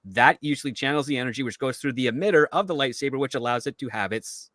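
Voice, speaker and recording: A man talks quickly at a medium pitch, laying out details like an analyst on a fan panel. His manner is explanatory. The recording is dry. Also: a bit bright, dry recording. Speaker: show panelist.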